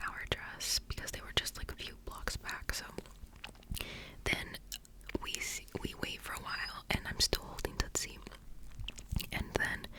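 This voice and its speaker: Soft rambling ASMR whisper storyteller. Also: poor audio. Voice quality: unvoiced whisper. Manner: rambling, heavy use of "like"; gets tongue-tied, restarts often. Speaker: ASMR creator.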